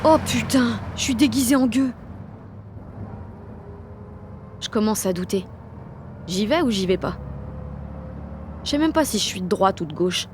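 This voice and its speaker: A woman's voice: medium pitch, expressive, normal speed, long pauses, slight background noise, lightly acted. An actress with a French accent playing a cocky sixteen-year-old girl who narrates her day in slangy, sweary audio fiction. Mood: doubting. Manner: slangy, sweary, punchy inner monologue. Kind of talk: first-person audio fiction monologue.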